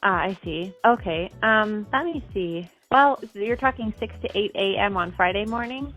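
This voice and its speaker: Woman caller, casual n chatty, average pitch, tells it like a story w quotes, muffled phone sound, faint music. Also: normal speed. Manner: chatty, informal, animated storytelling with acted-out quotes. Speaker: customer calling to book a job. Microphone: telephone. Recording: muffled phone call recording, music behind.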